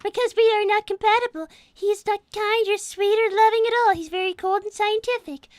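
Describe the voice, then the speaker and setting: A very high-pitched, prim little rabbit girl. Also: steady tone, a little fast, no room sound, clean studio sound. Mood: scheming. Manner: earnest, formal, indignant and self-righteous. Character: prim, scheming, easily offended young girl rabbit. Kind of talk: improvised live character roleplay.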